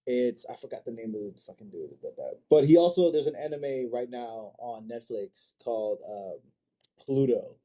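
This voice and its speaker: A man's voice: medium pitch, lively intonation, moderate pace, dark tone, low-quality recording. A man from Africa chatting casually as an interview guest, agreeable and slangy, often repeating short phrases. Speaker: interview guest, manga artist and sculptor.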